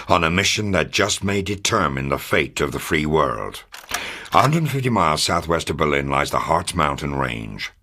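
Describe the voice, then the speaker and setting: A man playing a gruff, fatherly, middle-aged American intelligence officer in a wartime video game, grave and authoritative, with a gravelly, husky voice. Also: rumbling low, bright, forceful tone, heavily acted. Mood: solemn, urgent. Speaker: military intelligence officer giving mission briefings. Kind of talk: scripted dramatic video game briefing.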